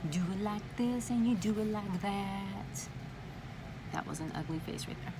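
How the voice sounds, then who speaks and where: A woman's voice: mid-range voice, measured pace. A woman from California with a slight lisp, talking casually to camera in a chatty, dramatic way and swearing freely. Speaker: vlogger. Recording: noisy background.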